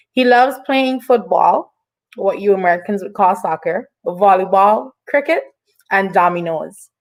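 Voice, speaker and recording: A woman's voice: medium pitch, low-quality recording. A woman hosting an online interview show, speaking in an upbeat, encouraging way as she introduces and chats.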